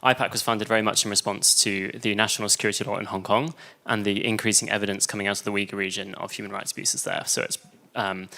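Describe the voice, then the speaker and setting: A man with a British accent explaining his organisation's purpose at a conference, fluent and measured. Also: on the low side, sharp tone. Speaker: organisation manager. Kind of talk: conference Q&A answer. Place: conference room.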